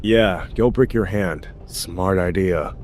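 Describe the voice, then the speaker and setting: Man acting a low-voiced blunt, sarcastic guy. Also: takes it slowly, a little mellow, a little hiss or hum. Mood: dry, sarcastic. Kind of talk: webtoon fan dub voice acting.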